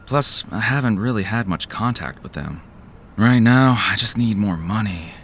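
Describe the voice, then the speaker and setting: A man's voice: fairly low pitch, expressive, moderate pace, fairly bright tone, a little hiss or hum. A man playing a jaded, cynical office worker who narrates an audio drama in a weary, sardonic, profane way. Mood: uneasy.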